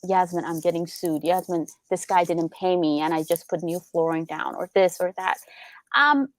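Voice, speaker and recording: Animated woman attorney, dry sound. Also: on the low side, moderate pace, no room sound. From Iran. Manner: animated, explains with rhetorical questions. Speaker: business attorney guest. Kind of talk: casual interview chat.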